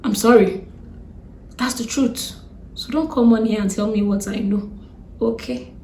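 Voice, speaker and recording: A young Nigerian woman with a strong Nigerian accent, talking fast and bluntly in a ranting vlog, exasperated and defiant. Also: fairly high pitch, mellow, reverberant, a little hiss or hum. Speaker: vlogger.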